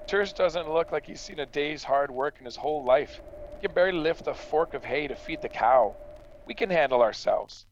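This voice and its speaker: An actor playing a confident farmer, a dutiful son and brother, in a radio drama. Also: male voice, a little high, lively intonation, normal speed, lo-fi sound, slight background noise, close to an everyday voice. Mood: reassuring. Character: confident farmer son, reassuring, dismissive of worries.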